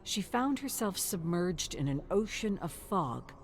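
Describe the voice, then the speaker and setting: A woman reading scripted dark fantasy narration in a measured, dramatic storytelling way. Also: on the low side, very animated, soft background music.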